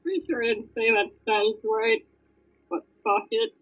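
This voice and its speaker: Husky woman on thin, lo-fi audio. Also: on the high side, animated, moderate pace. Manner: informal, sweary. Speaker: fan fiction reader and commentator. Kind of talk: casual read-aloud with joking asides.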